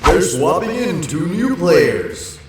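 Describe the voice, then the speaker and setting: Man as excited male stadium commentator for anime soccer, heavy echo, high pitch, music behind. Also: slow, heavily acted. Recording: echoing, distant, music behind.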